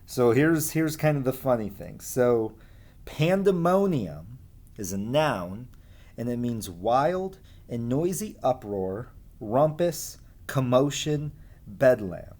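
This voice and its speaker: A man with a medium-pitched voice talks to children as their teacher. His pace is unhurried, and his delivery is informal, the kind used for explaining and reading aloud to a class. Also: expressive, a little mellow. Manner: informal, explains and asks listeners questions. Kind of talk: casual read-aloud lesson for children.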